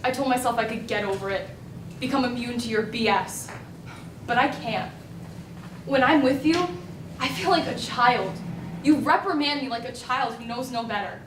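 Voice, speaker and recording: A woman's voice: a little high, moderate pace, noisy background, lightly acted. A young woman acting in a stage play as Marie, a partner worn down by belittling, speaking with bitter emotion, heard from far off in an echoing hall. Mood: resentful. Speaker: student actor. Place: school stage. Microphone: distant audience camera. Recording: echoey stage recorded from far off.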